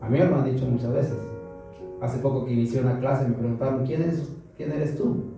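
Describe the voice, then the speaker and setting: A man's voice: mid-range voice, brisk, distant mic. A Mexican man preaching a sermon to a congregation, asking them questions and repeating them back. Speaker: pastor. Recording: roomy echo from afar, music behind.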